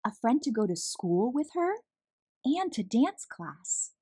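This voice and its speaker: A woman reading a children's picture book aloud as an expressive narrator. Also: on the high side, takes it slowly, breathy edge, no room sound. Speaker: children's story reader, channel host.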